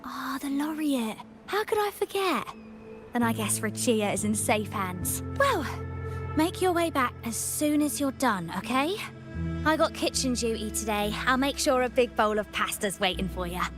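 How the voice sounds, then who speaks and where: Woman acting a chummy folksy crewmate lady in a game, high animated voice, music behind. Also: moderate pace, lightly acted. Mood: friendly concern. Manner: informal, chummy, expressive. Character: friendly, easygoing crew woman, caring and folksy.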